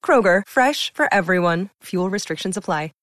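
A woman's voice: mid-range voice, expressive, moderate pace, a bit bright, no room sound, clean studio sound. A woman with an American accent reading a scripted supermarket advertisement as an announcer.

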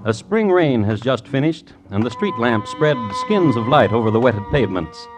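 Wry, deadpan male radio crime narrator. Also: mid-range voice, music in the background. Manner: deadpan, measured, wry, formal. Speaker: host and narrator.

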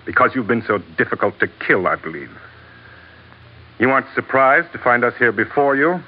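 A man's voice: mid-range voice, sing-song, normal speed. A man playing a smug villainous court advisor in old radio drama, mock-polite and wordy. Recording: dull old radio recording.

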